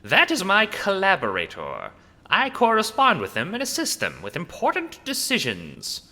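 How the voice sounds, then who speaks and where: A man voicing a short, pompous, excitable self-styled mayor who speaks in a grandiose, demanding, stentorian manner for an audio drama. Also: high-pitched, normal speed, in a large hall, pitched above the performer's own voice, full character voice. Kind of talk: audio drama character acting.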